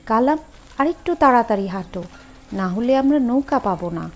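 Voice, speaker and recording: A woman's voice: fairly high pitch, lively intonation, normal speed. A woman voicing a skeptical male boss in a ghost story, with a dismissive, commanding and dramatic manner. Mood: urging.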